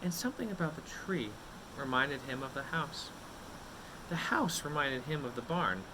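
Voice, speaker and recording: A man reads a picture book aloud in a medium-pitched, highly animated voice. He stretches words for drama and leaves suspenseful pauses, like a storyteller for young children. There is a light background noise on the recording.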